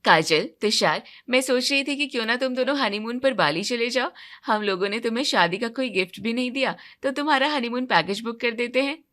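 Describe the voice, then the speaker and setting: Capable elder sister-in-law for a family audio drama, fairly high, bright, caring and in charge woman performer. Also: fairly quick, natural voice. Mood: generous. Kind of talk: acted dialogue in a Hindi audio story.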